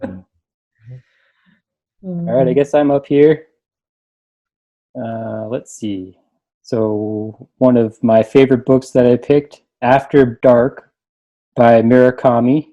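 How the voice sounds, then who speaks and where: The sound is slightly dull and lo-fi. A man with a mellow, relaxed voice reads short passages aloud and comments on them casually, as a librarian on a panel would. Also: mid-range voice, slow pace, long pauses. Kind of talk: reading reviews aloud and casual chat.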